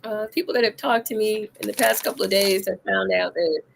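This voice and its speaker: A woman hosting a casual adult chat show, hoarse and deep-voiced from being sick, laughing and coughing as she talks. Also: fairly even intonation, a little fast, slight background noise, low-quality recording. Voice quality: deep, rough voice. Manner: laughs often, coughs between lines. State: hoarse from illness. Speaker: host.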